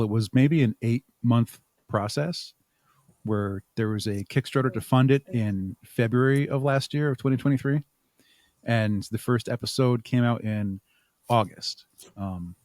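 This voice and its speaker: Man, medium pitch, interview guest, explanatory, example-driven, conversational, very little room echo. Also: darkish tone. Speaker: interview guest, audio drama creator.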